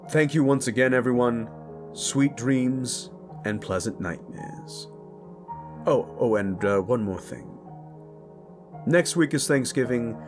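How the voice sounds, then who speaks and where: Enthusiastic man chatting over music. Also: fairly low pitch. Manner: enthusiastic, informal. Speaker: audio roleplay creator and host. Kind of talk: casual chat to listeners, channel outro.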